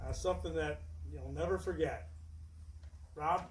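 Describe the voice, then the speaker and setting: A male host leads a relaxed interview with a jokey, upbeat manner and a voice that sits a little high. The sound is roomy and echoey, and he is picked up from some way off the mic. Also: a little slow, slight background noise. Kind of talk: casual interview. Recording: echoey room, distant mic.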